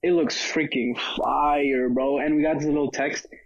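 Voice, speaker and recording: Slangy joking guy, a bit high. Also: steady tone, normal speed, muffled recording. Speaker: podcast co-host. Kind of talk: casual chat.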